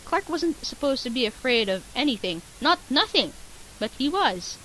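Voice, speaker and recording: Female comic narrator, high voice, playful dramatic delivery, faintly hissy home recording. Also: big pitch swings, moderate pace, bright, forceful tone, poor audio. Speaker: co-host and story narrator. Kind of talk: comic dramatic reading of fan fiction.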